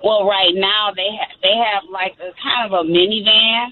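A woman's voice: mid-range voice, fairly even intonation, moderate pace. A woman calling in to a radio show, answering politely in short, agreeable replies. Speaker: caller. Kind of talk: phone call into a radio show. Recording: tinny phone line.

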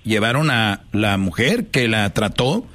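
A man's voice: lowish voice, sing-song, unhurried, fairly bright tone. A man hosting a call-in talk show, speaking informally in a blunt, confrontational and slangy way. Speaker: talk show host taking calls.

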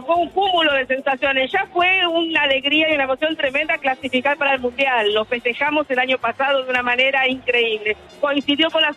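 A woman from Cape Verde with a very high, bright voice answers a radio interview in full, expressive sentences. Faint music plays behind her. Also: rough recording. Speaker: interviewee, fan. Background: music playing in the background.

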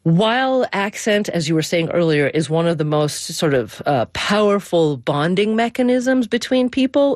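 A woman's voice: lowish voice, darkish tone, no echo, clean studio sound. A woman speaking as a radio host in a conversational broadcast interview.

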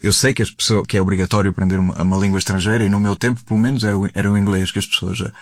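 A man's voice: fairly low pitch, steady tone, rapid-fire. A Portuguese man with a European Portuguese accent who interviews a guest for the radio, hesitating and restarting often.

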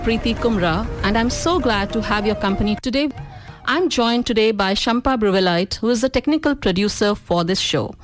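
A woman hosting a radio interview show in a studio, welcoming and chatty, with many uhs and quick yeps. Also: a little high. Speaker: radio host.

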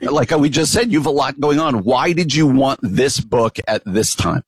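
A man's voice: mid-range voice, moderate pace, husky, no echo. A man hosting a radio interview show, greeting and questioning his guest in an upbeat way. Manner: upbeat, lively. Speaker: radio talk show host.

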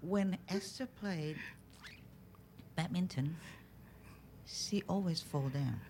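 A woman's voice: lowish voice, takes it slowly, mellow, a little hiss or hum. A woman hosting a casual studio chat, teasing and playful; her first language is Indonesian. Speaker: host.

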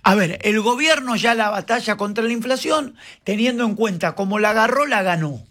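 A high-voiced Argentine man runs a casual radio show. He teases his co-hosts and fires off rhetorical questions in a playful, easy manner. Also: bright, forceful tone. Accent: Argentine Spanish. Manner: playful, teasing, rapid-fire questions. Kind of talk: casual talk radio banter.

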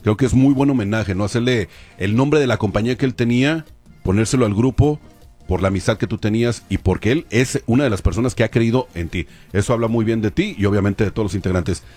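A man's voice: lowish voice, quick pace, a little mellow, soft background music. A man in his forties hosting a casual, upbeat interview with a band, joking and using slang. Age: 40s. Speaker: radio show host.

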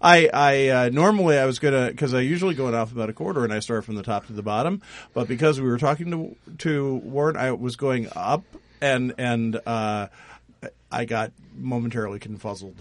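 A man's voice: mid-range voice, brisk, no echo. Guy hosting a morning radio panel, chatty and rambly, dry studio mic. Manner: chatty, rambling, self-deprecating. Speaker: radio panel show host.

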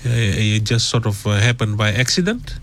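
A man's voice: on the low side, lively intonation, brisk, fairly bright tone, slight background noise. A middle-aged man from Fiji, a guest talking in a radio interview, hesitant and full of fillers and restarts. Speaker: guest author.

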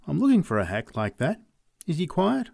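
A man's voice: a little high, wildly swooping pitch, moderate pace, gravelly, darkish tone, no echo, clean studio sound, voice pushed up, full character voice. A man voicing a tough, hard-drinking brawler, blunt and full of swearing. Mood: casual. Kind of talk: audiobook character voice.

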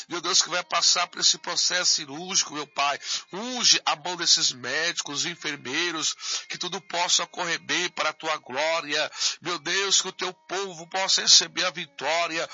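Man, pastor, fervent repetitive prayer, bright high voice, dull radio sound. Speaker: pastor leading intercessory prayer.

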